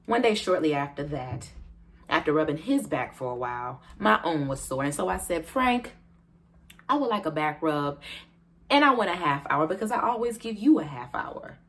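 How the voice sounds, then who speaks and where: Woman acting aggrieved American wife, low-voiced, self-justifying. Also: big pitch swings, normal speed, a bit bright, heavily acted. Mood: aggrieved, emphatic. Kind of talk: comedic stage monologue.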